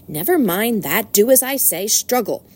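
A woman's voice: mid-range voice, animated, moderate pace, natural voice. A woman voicing a wily old barnyard sheep who gives urgent, commanding instructions. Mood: urgent, conspiratorial.

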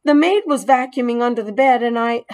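A woman's voice: fairly high pitch, a little fast, a little mellow, pitched above the performer's own voice, lightly acted. A woman reading aloud and voicing a small, anxious young male mouse in a storybook, earnest and apologetic. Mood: ashamed.

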